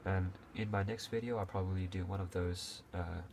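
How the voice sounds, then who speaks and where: A man's voice: sits low, steady tone, normal speed, creaky, a little mellow, a little hiss or hum. A young man from Hong Kong talking casually to camera, with frequent fillers, restarts and a few coughs. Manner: hesitant, many fillers and restarts, occasional coughs. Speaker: video creator. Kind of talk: casual unscripted talk to camera.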